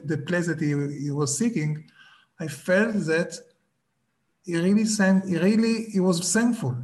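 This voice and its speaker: A man, a Hebrew speaker, giving a slow, reflective talk and often stopping to search for words. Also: sits high, a little mellow, rough recording.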